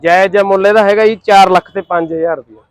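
Punjabi guy selling tractors, talks really quick and high, chatty and persuasive. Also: steady tone. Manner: persuasive, colloquial, uses rhyming echo words. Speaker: used tractor dealer. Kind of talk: casual sales pitch in conversation.

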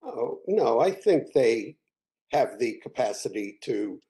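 A man presenting a resolution in a video-call board meeting, measured and explanatory with frequent fillers. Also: mid-range voice, big pitch swings, dark tone. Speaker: committee chair presenting a resolution.

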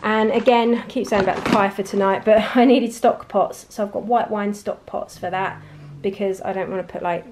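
A woman's voice: mid-range voice, steady tone, moderate pace, background noise. A middle-aged British woman chatting casually and with easy amusement, like a vlogger talking viewers through things at home. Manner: chatty, self-amused, laughs lightly often. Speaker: grocery haul vlogger. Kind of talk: casual chat to camera. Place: indoors at home, at a table of shopping. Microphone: handheld camera, speaker off screen.

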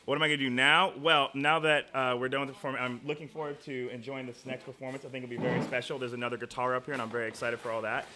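Young male student interviewee, quick bright delivery, casual, faint noise behind. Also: medium pitch, little pitch movement. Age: young adult. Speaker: student performer being interviewed. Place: small indoor studio. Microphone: shared stand microphone.

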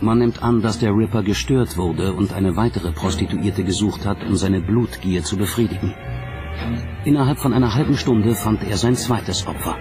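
A man narrates a documentary from a script. His voice sits on the low side, and music plays clearly behind him. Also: moderate pace.

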